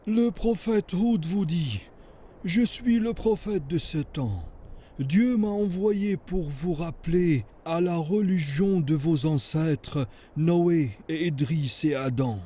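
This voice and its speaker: An actor playing a pious, solemn sheikh in a French cartoon, preaching in a grave, measured, husky voice. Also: male voice, on the high side, animated, warm tone, heavily acted. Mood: grave warning. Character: pious, solemn sheikh teaching about the prophet.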